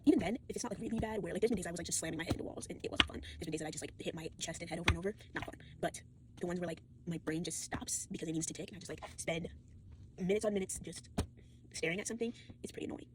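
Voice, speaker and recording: Fast-rambling tired teenage girl. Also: fairly low pitch, heavy background noise. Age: late teens. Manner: fast, rambling, full of fillers and restarts, swears often. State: fatigued and sore. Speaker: vlogger. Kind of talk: rambling casual monologue.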